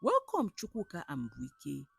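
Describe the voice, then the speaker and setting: A woman voicing a mysterious old male medicine man in a folktale, solemn and deliberate. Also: low voice, wildly swooping pitch, gravelly, breathy edge, faint music behind, heavily acted. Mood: solemn, commanding. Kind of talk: storybook folktale character.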